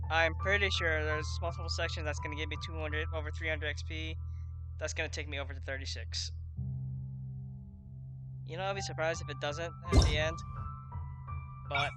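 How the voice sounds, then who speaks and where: Female gaming host: casual, unhurried, rather low voice, murmuring along over loud music. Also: steady tone. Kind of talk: casual game commentary, reading dialogue choices aloud.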